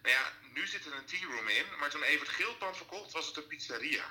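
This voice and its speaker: A man's voice: fairly high pitch, lively intonation, fast talker, pushed and bright, slight background noise. A man playing an eager newsroom colleague turned sleuth in an audio drama, talking informally and with animation. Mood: eager, conspiratorial.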